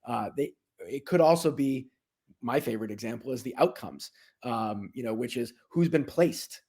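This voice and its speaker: Gravelly, husky male executive explaining informally. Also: medium pitch, expressive, normal speed, warm tone. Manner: informal explainer, checks in with "right?". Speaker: software company executive, interview guest. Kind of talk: conversational explanation.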